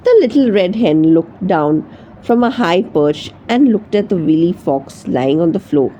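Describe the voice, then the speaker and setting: A woman reading a children's story aloud as narrator, in an expressive storytelling manner. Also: fairly low pitch, unhurried, highs cut off, slight background noise. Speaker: storybook reader.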